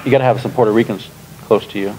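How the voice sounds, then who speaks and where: A man in his thirties, a pastor, leading a relaxed classroom discussion with lots of fillers, recorded in a church classroom by a room camera. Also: lowish voice, racing, heavy background noise. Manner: relaxed, chatty, frequent fillers and laughs. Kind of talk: casual teaching discussion.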